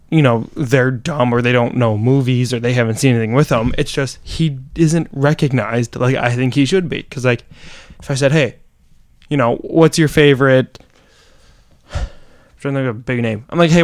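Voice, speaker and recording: A young man co-hosting a casual podcast, talking in long enthusiastic rambles. His voice is smooth and clear at an ordinary pitch, and the recording is dry and close. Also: brisk, no echo. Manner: rambling and enthusiastic, full of "like" and "you know". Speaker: podcast co-host.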